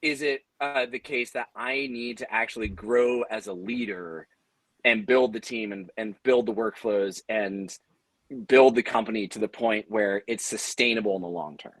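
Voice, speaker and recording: A man's voice: on the low side, fairly even intonation, moderate pace, dry recording, rough recording. A man speaking earnestly and conversationally on a panel, explaining how he leads his team. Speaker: audio drama company leader on a panel.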